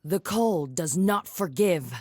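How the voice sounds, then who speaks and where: A woman's voice: on the low side, lively intonation, slow pace, a little mellow, dry recording, clean studio sound, faint music behind. An actress voicing a fierce, stern female war chief of the frozen north in video game lines, commanding and deadly serious. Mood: stern, resolute.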